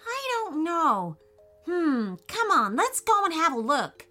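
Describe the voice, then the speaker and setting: A woman voicing a kindly, adventurous young boy in a children's storybook, with an excited, encouraging manner. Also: very high voice, takes it slowly, faint music behind, pitched above the performer's own voice, heavily acted. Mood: curious. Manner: excited, reassuring.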